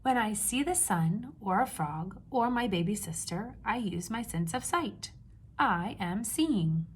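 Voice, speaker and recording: A woman reads to young children in an unhurried, instructive way, at a medium pitch. She puts simple questions to the listener and sets key words apart clearly. Faint noise sits behind her voice. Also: animated. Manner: instructive, asks simple questions and pauses before confirming answers. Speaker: teacher reading a picture book aloud to young children.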